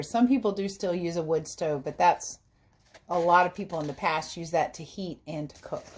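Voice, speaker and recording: A woman reading a story aloud as a teacher, pausing to explain things simply to young listeners. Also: mid-range voice. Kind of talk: read-aloud storytelling with explanatory asides for children.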